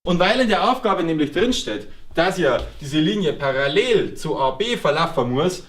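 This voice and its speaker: Male schoolteacher, high and lively voice, patient and questioning, echoey classroom sound. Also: moderate pace. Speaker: teacher. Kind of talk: explaining a geometry task to pupils.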